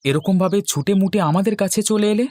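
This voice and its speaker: A man's voice: high voice, quick pace, darkish tone. A man voicing a kind, humble young husband and caring brother-in-law in a fairy-tale cartoon. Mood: concerned.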